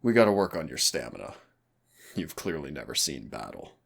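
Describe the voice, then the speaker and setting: A predatory demon acted by a man drills his human trainee in an audio roleplay. His voice sits very low with a heavy gravelly creak. He taunts with mock courtesy and gives orders with smug, dangerous ease. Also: sing-song, a little fast, fairly bright tone, heavily acted. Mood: commanding, taunting. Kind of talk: scripted roleplay audio acting.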